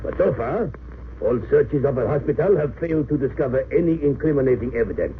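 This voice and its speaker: A man's voice: mid-range voice, expressive, brisk, natural voice. A man playing a stern, demanding German lieutenant in a 1930s radio drama, clipped and commanding. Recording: tinny, noisy old radio recording.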